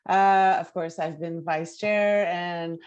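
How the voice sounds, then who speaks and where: A woman's voice: lowish voice, steady tone, slow, a little mellow, smooth, dull audio. A woman with a Quebec French accent, French as her first language, introducing herself on a video call in a bubbly, outgoing way. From Quebec, Canada. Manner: bubbly, outgoing, laughs often. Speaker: chair of a national institute.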